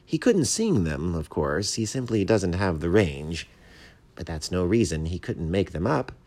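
A man's voice: low-pitched, sing-song, measured pace, darkish tone, exaggerated voice. A man voicing a self-important but courteous mockingbird, a pedantic poetry critic in a storybook. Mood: condescending, polite. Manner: pompous, polite, pedantic.

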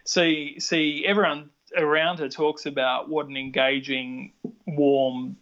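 A man's voice: a little high, flat delivery, takes it slowly, fairly bright tone. An older man with an Australian accent, chatting casually on a podcast, rambling with many restarts. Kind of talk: casual chat. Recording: dull lo-fi sound.

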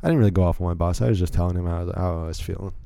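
A man's voice: low-pitched, rapid-fire, gravelly, warm tone, no room sound. A young man from Virginia hosting a solo podcast, rambling casually with frequent restarts and self-deprecating asides. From Virginia, USA. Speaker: podcast host.

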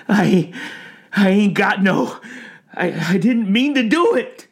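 Frantic old vagrant, high strained pitch, wildly swooping, stammering, blubbering, folksy storybook character. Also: male voice, animated, fairly quick, a little mellow. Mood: pleading, sobbing. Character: frantic, sobbing, gaunt old derelict man.

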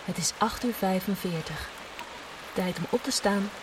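A woman playing a gentle wake-up announcement voice in an audio drama. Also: medium pitch, a little slow, close to an everyday voice. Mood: calm. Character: gentle morning wake-up voice from an installation. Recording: distant, clear watery rain-like noise.

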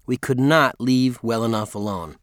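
A man's voice: mid-range voice, normal speed, no room sound. Male audiobook narrator, dry sound. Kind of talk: scripted memoir narration.

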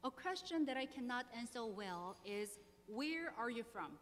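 A woman pastor in her thirties from South Korea, speaking English with a Korean accent, leading prayer, reading scripture and preaching in a measured, earnest way. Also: on the high side, expressive. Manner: measured, earnest, slow deliberate phrasing. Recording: long hall reverb, faint hum.

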